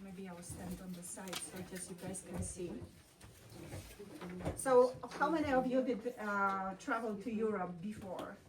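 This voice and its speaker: A woman's voice: medium pitch, normal speed. Polish woman teaching a class, distant mic. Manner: encouraging, praising learners. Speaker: language and culture teacher. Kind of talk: informal classroom lesson with learners. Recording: distant mic, some background noise and clicks.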